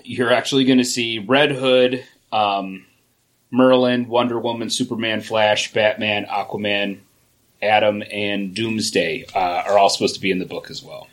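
A man co-hosting a casual podcast who sounds enthusiastic and rattles off lists quickly. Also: fairly low pitch, steady tone.

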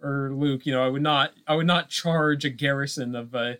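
A man co-hosting a podcast in casual chat, halting and full of filler phrases. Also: mid-range voice, steady tone, bright, forceful tone, smooth. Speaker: podcast co-host.

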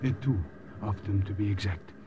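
An actor playing a kindly, cautious old man with a halting, polite manner, in 1950s radio drama style. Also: lowish voice, lightly acted. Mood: cautious, hesitant. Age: older. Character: cautious, kindly old Norwegian underground contact. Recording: dull, noisy old radio recording.

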